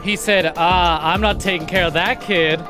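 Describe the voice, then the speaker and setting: A man's voice: sits high, steady tone, moderate pace. A man streaming a visual novel game, reading aloud and commenting in a wry, conversational way. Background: faint game music.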